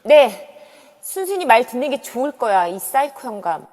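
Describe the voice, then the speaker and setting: A woman's voice: fairly high pitch, big pitch swings, normal speed, darkish tone. A woman voicing a tough police officer who threatens coldly in an amateur audio drama. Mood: threatening. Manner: cold, threatening. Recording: long reverb.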